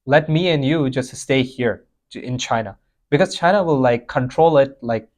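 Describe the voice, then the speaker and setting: Rambling young podcast host. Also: male voice, medium pitch, normal speed, darkish tone. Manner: rambling storyteller, lots of fillers and laughs. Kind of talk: casual chat.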